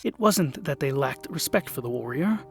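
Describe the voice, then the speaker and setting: A man narrating a comic fantasy tale as the show's host, theatrical, dropping to hushed whispers and rising to sudden bursts. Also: mid-range voice, expressive, normal speed, gravelly, soft background music. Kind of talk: scripted audiobook narration.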